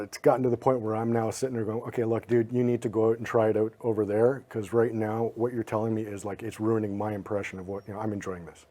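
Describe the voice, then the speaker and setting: Mellow, low-voiced male podcast host. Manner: reflective, talks in short thoughtful runs with small restarts. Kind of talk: casual podcast chat.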